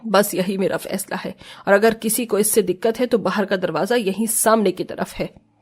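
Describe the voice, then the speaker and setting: Cartoon matriarch woman acting: medium pitch, stern, scolding, commanding mother-in-law. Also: moderate pace, a little mellow, breathy edge, close to an everyday voice. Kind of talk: cartoon family drama acting.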